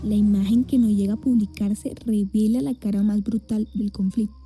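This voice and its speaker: A woman playing a grave news reporter, with husky, breathy, formal scripted narration. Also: medium pitch, fairly even intonation, moderate pace, dark tone, faint music behind, lightly acted.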